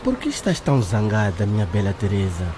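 A man acting a persistent, smooth-talking young suitor in a radio drama, wooing and insistent. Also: fairly low pitch, very animated, a little fast, heavy background noise. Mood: pleading.

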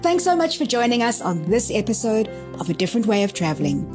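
A woman's voice: on the high side, big pitch swings, fast talker, over music. A woman, hosting a conversational podcast interview. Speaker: podcast host.

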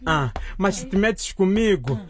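A cheeky, bold teenage schoolboy in a radio play. His cocky voice is high and very lively, with a noisy class crowd around him. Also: measured pace. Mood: boastful. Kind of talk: radio drama acting. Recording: crowd noise of a classroom behind.

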